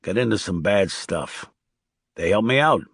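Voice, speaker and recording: A man's voice: lowish voice, lively intonation, measured pace, a bit bright, husky, no room sound, clean studio sound, heavily acted. A man voicing a troubled, loyal middle-aged ex-soldier who now owns a bar, in an audiobook thriller. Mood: somber, confiding.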